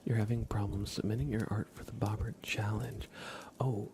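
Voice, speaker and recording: A man's voice: on the low side, level tone, normal speed, background noise. A man hosting an ASMR livestream in a whispered, casual and hesitant manner, chatting and commentating. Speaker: live streamer, host.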